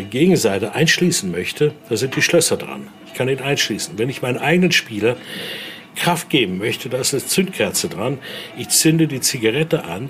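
A man's voice: medium pitch, fairly bright tone. A man giving an informal guided tour, explaining things and often adding small tag questions. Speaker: museum guide and voodoo expert. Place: indoor exhibition room. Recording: faint background noise on location.

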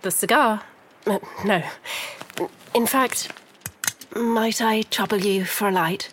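An actress playing a poised, genteel wife in a gothic noir audio drama, speaking in a measured, formal and grave manner. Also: female voice, mid-range voice, steady tone, fairly bright tone, slight background noise, rough recording. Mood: polite. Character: poised, genteel grieving wife with quiet gravity.

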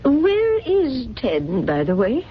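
A gracious, high-voiced older mother. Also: wildly swooping pitch, takes it slowly, heavily acted. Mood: pained, concerned. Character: convalescent older mother, gracious, stubbornly loyal. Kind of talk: radio drama acting. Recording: dull, noisy 1970s radio broadcast.

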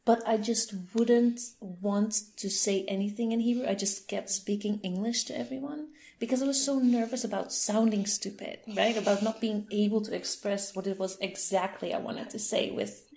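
A woman's voice: medium pitch, steady tone, moderate pace, vocal fry, hardly any pauses, dark tone. A young adult woman, interviewing in a chatty way and laughing often. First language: Dutch. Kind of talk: casual conversation.

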